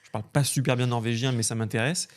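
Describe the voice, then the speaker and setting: A man hosts a podcast and speaks in a friendly, relaxed way. He asks his questions calmly, with a few hesitations. His voice sits at an average pitch. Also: fast talker. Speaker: podcast host. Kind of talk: casual interview.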